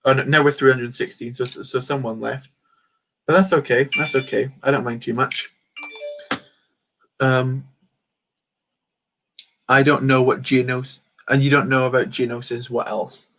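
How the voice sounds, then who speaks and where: A man's voice: medium pitch, little pitch movement, unhurried, pauses often, a bit bright, poor audio. A man hosting a casual livestream, rambling and answering chat comments while he builds. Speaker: livestream host.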